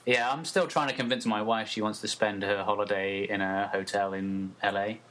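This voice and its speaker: Low-voiced British podcast host. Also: male voice, steady tone, normal speed, a bit bright. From United Kingdom. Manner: easygoing, encouraging, laughs along. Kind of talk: casual podcast interview.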